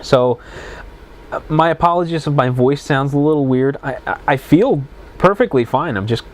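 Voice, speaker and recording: A man's voice: fairly high pitch, normal speed. Congested man hosting a solo podcast. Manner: rambling, self-correcting, stumbles over words. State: congested, plugged sinuses. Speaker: podcast host. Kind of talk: casual solo podcast, reading news aloud.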